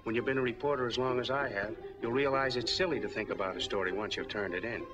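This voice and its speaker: An actor playing a grumpy, wisecracking middle-aged reporter in a 1940s film, blunt and cynical. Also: male voice, medium pitch, fast, close to an everyday voice. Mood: grumbling, sardonic. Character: grumpy, cynical veteran male newspaper reporter. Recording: muffled 1940s film soundtrack, music.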